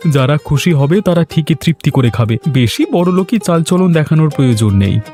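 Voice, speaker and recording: A man playing an earnest, modest young adult son in a village moral-story cartoon, speaking in a measured way. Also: a little high, expressive, dark tone, faint music behind, natural voice.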